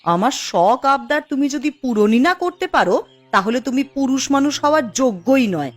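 Woman acting a high-pitched, dramatic village wife. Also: lively intonation, normal speed, soft background music. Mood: scornful, angry. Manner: dramatic, emphatic. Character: emotional village wife, by turns scolding and tender. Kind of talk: Bengali fairy-tale cartoon acting.

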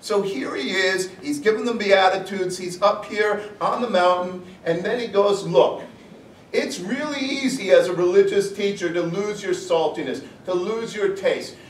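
A priest in his forties gives a conversational sermon, animated and sprinkled with humor. His voice sits high for a man. He is heard from a distance in a roomy hall with a faint low hum behind him. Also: moderate pace. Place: parish hall with congregation. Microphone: far camera. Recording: echoey hall from far off.

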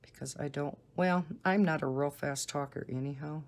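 A woman with a Northern American accent and a low, soft voice, chatting casually and unhurriedly. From northern United States. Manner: unhurried, deliberately slow talking. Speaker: coloring video host. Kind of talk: casual rambling chat while coloring.

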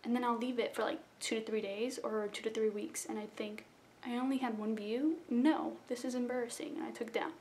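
Young woman vlogger, rambly and self-deprecating, slightly high voice, bedroom webcam sound. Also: fairly even intonation, fairly quick, hardly any pauses, darkish tone, slight background noise, rough recording. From South Florida. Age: young adult. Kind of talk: casual rambling intro vlog. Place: small bedroom indoors. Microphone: webcam at close range.

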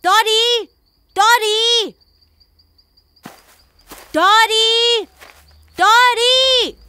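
A woman voicing an earnest, expressive young cartoon boy who dotes on his pet. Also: very high voice, very slow, full character voice. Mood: anxious. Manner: expressive, exclamatory. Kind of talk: English-dubbed cartoon acting. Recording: music behind the voice.

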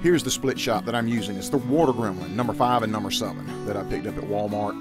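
A man's voice: lowish voice, quick pace, over music. A middle-aged man giving casual how-to vlog talk, folksy and speaking directly to viewers. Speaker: outdoors and shooting vlogger.

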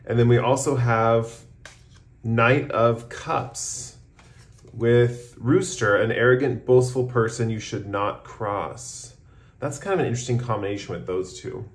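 A man's voice: medium pitch, unhurried. A man giving a casual, improvised tarot reading, talking in a rambling way full of "like" and "kind of". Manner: rambling, hedging with frequent "like" and "kind of". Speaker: tarot reader, host. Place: indoors at a tabletop, off camera. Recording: roomy, echoing room sound.

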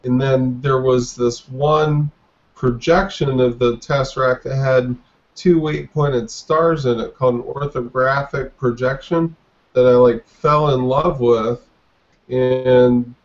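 A man's voice: mid-range voice, fairly even intonation, slow. A man in his forties, a guest on a video call interview, talking in a hesitant way with restarts. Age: 40s. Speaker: guest artist. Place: dim room indoors. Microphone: webcam on video call. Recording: dull lo-fi webcam audio.

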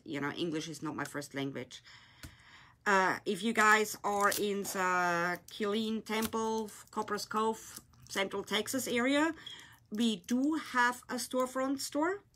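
A woman with a German accent, speaking English as her second language, hosts a live craft tutorial from a tabletop. Her voice is bright and forward, her grammar is loose, and she chats with viewers as she shapes things with her hands. It is picked up by a phone or camera on the desk. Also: medium pitch. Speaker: craft shop owner hosting a live tutorial. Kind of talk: casual live-stream demonstration. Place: indoor craft room, at a glittery table. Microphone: phone or camera on a desk, filming her hands.

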